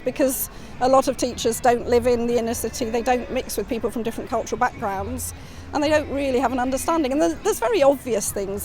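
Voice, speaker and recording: A woman's voice: on the high side, darkish tone. A woman deputy head teacher speaking in a documentary interview, explaining her views earnestly and fluently.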